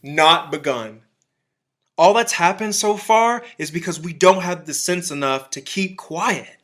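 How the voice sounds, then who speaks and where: A man's voice: a little high, a little slow, a bit bright. An actor playing an embittered, zealous militiaman who survived an alien invasion, in a radio drama, speaking in emphatic, folksy, clipped phrases. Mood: grim, intense.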